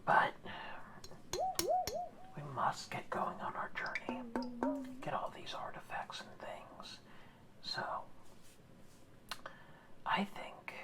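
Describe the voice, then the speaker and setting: Woman whispering as an old kindly orca. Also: natural voice. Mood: gentle whisper. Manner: soft whisper, slow and reassuring. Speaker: ASMR roleplayer. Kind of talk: ASMR roleplay.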